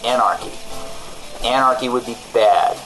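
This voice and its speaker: A man's voice: mid-range voice, normal speed, over music, poor audio. A man co-hosting a comedy radio show in character, bantering in an evasive, self-righteous way. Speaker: radio co-host.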